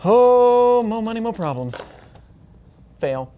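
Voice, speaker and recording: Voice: medium pitch, sing-song, slow pace, lo-fi sound. Tabletop game player, casual and playful, joking while declaring actions. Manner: playful, jokey.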